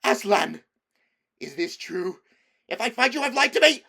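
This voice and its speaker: A cruel witch queen from a storybook tale, put on by a man in a high voice, barking orders and shrieking with icy authority. She is proud and merciless. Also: sing-song, unhurried, creaky, pushed and bright, heavily acted. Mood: furious. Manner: terrible, shrill commanding cries.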